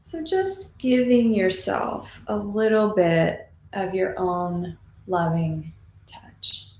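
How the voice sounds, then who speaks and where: Woman doing a guided meditation, soothing n slow, lots of pauses, echoey room w a bit of noise. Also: medium pitch, a little mellow. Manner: soothing, unhurried, with long pauses. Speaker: meditation teacher. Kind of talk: guided meditation, gently spoken and unscripted. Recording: roomy echo, faint background noise.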